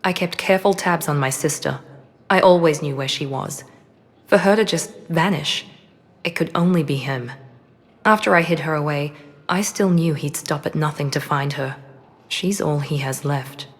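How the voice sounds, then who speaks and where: Low-voiced, guarded woman operative. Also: moderate pace, long reverb tail. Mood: resolute. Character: poised, guarded former operative woman, quietly vulnerable. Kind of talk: dramatic video game acting.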